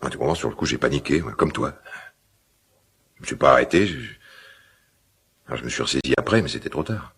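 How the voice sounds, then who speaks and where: An actor playing a middle-aged father in a radio drama, guilt-ridden and awkwardly tender, speaking hesitantly in a gravelly smoker's voice. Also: a bass voice, a bit bright, heavily acted. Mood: guilty, shaken. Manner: hesitant, halting, confiding. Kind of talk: naturalistic French radio drama dialogue.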